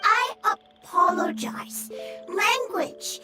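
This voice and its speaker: A woman voicing a small, childlike fungus creature in a fantasy game, earnest and speaking in halting broken sentences. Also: extremely high pitch, far from the mic, over loud music. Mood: timid. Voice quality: tiny, childlike. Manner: halting broken sentences, very few words at a time. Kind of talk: fantasy video game dialogue.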